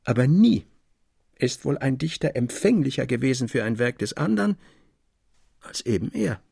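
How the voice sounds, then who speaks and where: Gravelly, husky man narrating with lively pitch. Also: normal speed, no room sound, clean studio sound. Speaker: narrator of a literary audio play. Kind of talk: scripted literary narration.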